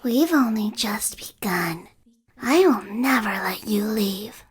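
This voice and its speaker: A woman's voice: mid-range voice, animated, takes it slowly, loud music behind the voice. A woman voicing a possessive, vengeful haunted animatronic in a horror game, whispering cold threats with hall reverb. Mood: hushed menace.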